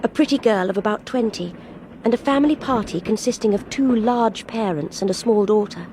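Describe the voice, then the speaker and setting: A woman's voice: medium pitch, fairly quick, a little mellow, natural voice, poor audio. An actress playing a polite, well-bred young English woman traveller in a radio drama, speaking in a reserved, well-spoken way. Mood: subdued, reflective. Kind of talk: radio drama dialogue and first-person narration. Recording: faint train noise behind.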